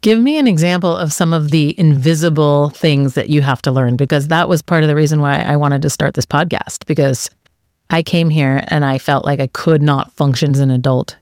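Low-voiced woman host from the us, curious chatty questions, dry close sound. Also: brisk, darkish tone, dry recording. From United States. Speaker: podcast host. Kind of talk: casual interview chat.

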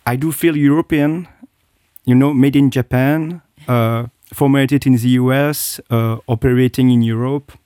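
Man, middle-aged, hesitant guest, warm voice. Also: mid-range voice, fairly even intonation, moderate pace, clean tone, no echo, studio quality. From South Korea. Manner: hesitant, many fillers and restarts. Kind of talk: interview, personal account.

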